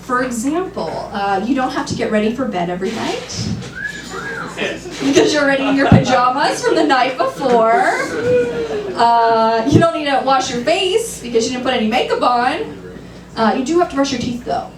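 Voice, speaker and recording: A woman in her thirties doing a dry, self-deprecating stand-up set, sometimes shrill and laughing at her own jokes. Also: expressive, normal speed. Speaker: stand-up comedian. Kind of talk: stand-up set at an open mic. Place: comedy club stage. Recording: roomy, distant, noisy club recording.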